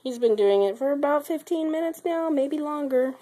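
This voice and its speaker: Young mum, sick, somewhat high voice, teasing sing-song baby talk, handheld home video. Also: female voice, expressive, moderate pace, dark tone. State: sick, voice lower than usual. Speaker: mother filming her baby. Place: baby's nursery, indoors. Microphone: handheld camera.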